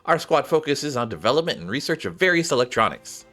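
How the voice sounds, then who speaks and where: A man's voice: a little high, very animated, fast talker, sharp tone, soft background music, voice pushed up, exaggerated voice. A man voicing an eager, cheeky young gamer girl in read-aloud game dialogue, chatty in manner.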